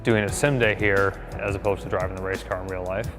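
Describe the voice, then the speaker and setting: A man's voice: sits low, fairly even intonation, fast talker. A man presenting a casual on-location interview, asking questions as he goes. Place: simulator room in a racing facility. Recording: long echoey reverb, faint music.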